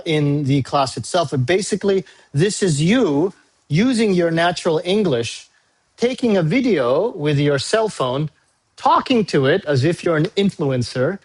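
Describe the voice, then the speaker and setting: A male university English teacher, a native Tagalog speaker, recording a class briefing video; he talks patiently, repeats key dates and fills pauses with "uh". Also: fairly high pitch, animated, a little mellow, husky. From Philippines. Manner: frequent "uh" fillers.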